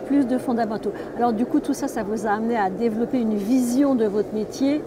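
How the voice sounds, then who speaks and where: A woman's voice: fairly high pitch, a little fast. A woman conducting a journalistic interview, putting direct, prompting questions and rephrasing points. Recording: clear urban background noise, trade fair interview.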